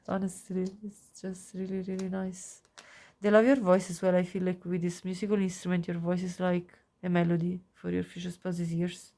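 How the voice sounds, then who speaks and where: A woman with a cold and a nasal voice giving a pick-a-card tarot reading, gushing softly over the cards. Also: on the low side. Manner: soft, gushing, warm-hearted with frequent little laughs. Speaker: tarot reader.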